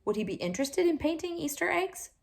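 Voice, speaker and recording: A woman reading a children's picture book aloud in an expressive, animated way, with little asides to her listeners. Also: high voice, fast talker, creaky. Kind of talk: storybook read-aloud to young children.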